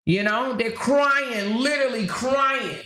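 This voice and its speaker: A woman's voice: a little high, takes it slowly, sharp tone. Woman, livestream host, casual commentary, emphatic, repetitive, rhetorical questions, echoey room.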